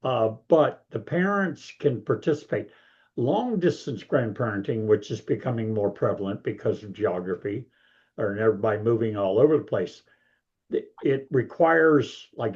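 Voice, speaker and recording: An older man speaks in a big, warm, mellow voice and tells things in a folksy, rambling way. The audio sounds dull and a little lo-fi. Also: medium pitch, moderate pace. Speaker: author and guest. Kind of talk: casual interview talk.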